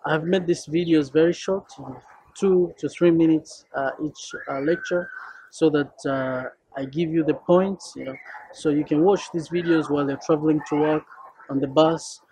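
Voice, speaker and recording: Young Kenyan man, husky, slightly high voice, casual teacher, outdoors, camera at arm's length. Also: steady tone, normal speed, mellow, no echo, poor audio. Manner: friendly, loose, with many fillers. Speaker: Swahili teacher. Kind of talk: casual course introduction to camera. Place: rooftop outdoors at evening.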